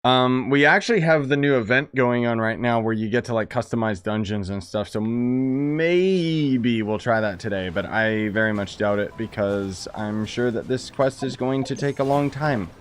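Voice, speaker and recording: A man's voice: mid-range voice, normal speed, few pauses, clean tone. A man giving casual, sardonic and grumbling commentary as he livestreams a game.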